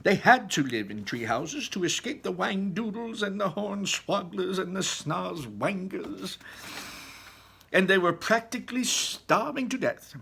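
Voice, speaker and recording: A man's voice: high-pitched, sing-song, measured pace, gravelly, sharp tone, husky, voice pushed up, exaggerated voice. A man voicing a proud, eccentric chocolate factory owner in a theatrical, emphatic storytelling manner for a children's book. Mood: dramatic, horrified. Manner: exclaiming, dramatic, emphatic storytelling.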